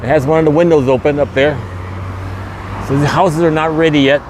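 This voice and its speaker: A man's voice: on the high side, fairly even intonation, fairly quick. A man narrating a walking vlog outdoors into a handheld camera, repeating promotional catchphrases as the host. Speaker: vlogger and host. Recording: loud outdoor street traffic noise. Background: traffic and vehicle noise.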